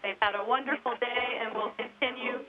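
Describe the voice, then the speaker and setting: A woman moderating a live event, reading out children's questions in a clear, formal manner. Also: on the high side, steady tone, a little fast. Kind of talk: moderating a live link with schools. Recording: dull lo-fi audio with a crowd behind.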